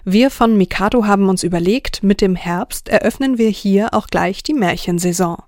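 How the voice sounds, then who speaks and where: A woman's voice: medium pitch, normal speed, a little mellow. A woman with a mid-range voice introduces a programme for children. Her delivery is friendly and scripted, addressed straight to young listeners. The studio sound is dry, with no room echo. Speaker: children's radio host.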